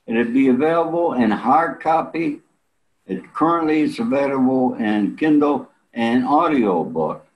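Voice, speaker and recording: An elderly man talking casually and bluntly as an interview guest, salty and pausing often, on a video call from a room at home. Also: medium pitch, expressive, dull audio. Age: 70s or older. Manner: blunt, salty, with frequent pauses.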